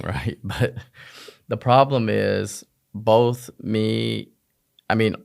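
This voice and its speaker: Creaky low-voiced man chatting informally. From United States, raised in Oklahoma and elsewhere, Vietnamese family. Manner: informal, self-deprecating, rhetorical questions. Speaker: podcast guest, physician. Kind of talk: casual personal storytelling in a conversation.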